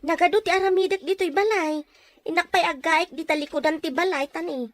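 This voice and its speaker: A woman's voice: very high-pitched, fairly even intonation, a little fast. A woman with an Ilocano accent playing a hardworking, house-proud, practical village neighbour in an audio drama, homely and matter-of-fact. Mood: busy, dismissive. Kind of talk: Filipino audio drama dialogue.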